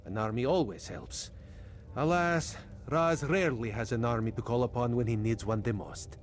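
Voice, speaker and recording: A man's voice: medium pitch, normal speed, slight background noise, natural voice. An actor playing a wry, roguish cat-man agent in a fantasy video game, with a droll, theatrical manner. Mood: wry, musing. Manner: third-person speech, droll, theatrical.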